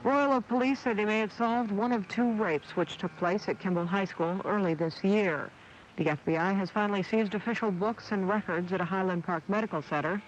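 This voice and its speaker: Muffled old radio, faint noise, high-pitched man, scripted news and weather read. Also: a little fast. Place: radio studio. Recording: dull, faintly noisy AM radio recording.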